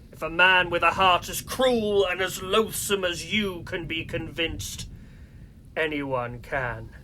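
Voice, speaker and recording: An actor playing a cruel, scheming Santa Claus villain in a radio play, grandiose and sneering. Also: male voice, high voice, bright, forceful tone, slight background noise, exaggerated voice.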